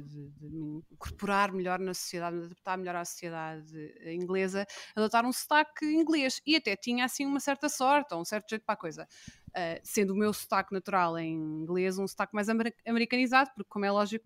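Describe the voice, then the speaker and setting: A woman's voice: lowish voice, fairly bright tone, no room sound, clean studio sound. A woman in her thirties with a Lisbon Portuguese accent, chatting casually and wryly as a podcast guest, with lots of fillers. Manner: wry, self-mocking, fast rambling with fillers. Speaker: guest reporter.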